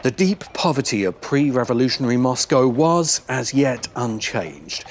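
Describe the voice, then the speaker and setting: A man delivering scripted documentary narration as a video essay host, in a deliberate, dramatic storytelling manner with pauses for emphasis. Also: mid-range voice, very animated, slight background noise. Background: background music.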